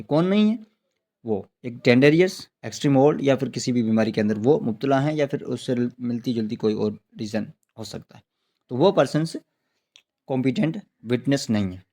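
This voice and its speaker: A man's voice: medium pitch, warm tone. A man with a Pakistani accent lecturing on law for students, repeating and rephrasing points, asking questions and answering them himself, with frequent check-in tags. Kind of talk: explanatory exam-prep lecture in Urdu mixed with English legal terms.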